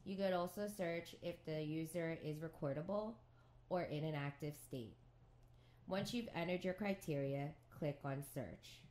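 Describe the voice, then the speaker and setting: A woman reading scripted instructions for a software tutorial in a measured, neutral, step-by-step way. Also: sits low.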